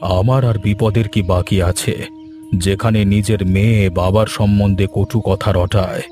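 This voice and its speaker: A man's voice: lowish voice, steady tone, unhurried, warm tone, faint music behind, natural voice. A man voicing an elderly, trusting landowner father in a cartoon. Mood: hurt, doubtful. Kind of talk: Bengali cartoon dubbing.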